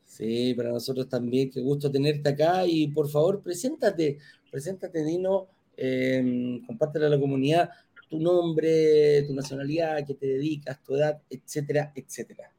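A man's voice: on the high side, fairly even intonation, moderate pace, mellow. A man hosting an interview, asking friendly questions and often restarting his sentences. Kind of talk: casual interview.

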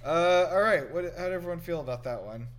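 A man's voice: fairly high pitch, lively intonation, a little fast, fairly bright tone. A man hosting a casual film reaction chat with wry, teasing banter. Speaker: podcast host.

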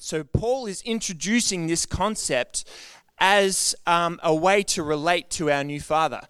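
A man's voice: high-pitched, a bit bright, smooth, no room sound. A man preaching a sermon to his church in an earnest, conversational way, often asking the congregation questions. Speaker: pastor.

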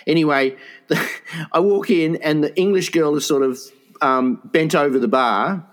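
A man co-hosting a comedy podcast, telling rambling stories with frequent laughs and restarts. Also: normal speed. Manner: rambling, giggly, puts on high voices for quotes. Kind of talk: improvised comic banter.

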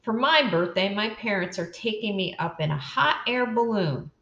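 A woman's voice: medium pitch, expressive, moderate pace, a bit bright, muffled recording, pitched above the performer's own voice. An older woman reading as a chatty, well-off girl. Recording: roomy echo.

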